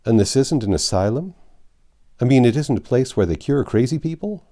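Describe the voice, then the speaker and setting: A man's voice: medium pitch, expressive, moderate pace, warm tone, close to an everyday voice. A man voicing a bewildered, practical Yankee stranger in a storybook, with a folksy, questioning manner. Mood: incredulous.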